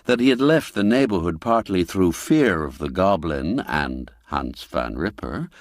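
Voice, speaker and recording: A man reading a classic tale aloud in a measured, expressive storytelling manner. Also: low voice, no echo, studio quality. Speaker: narrator. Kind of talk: scripted storybook narration.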